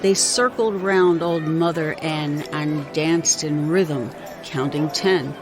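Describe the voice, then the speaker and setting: Low-pitched woman reciting rhyming verse over music. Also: slow. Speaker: writer reading own work.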